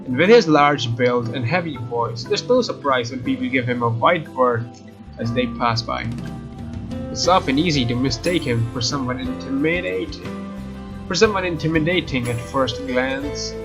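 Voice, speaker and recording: A man hosting a let's play, reading visual novel narration aloud and adding casual commentary. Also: mid-range voice. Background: faint game music.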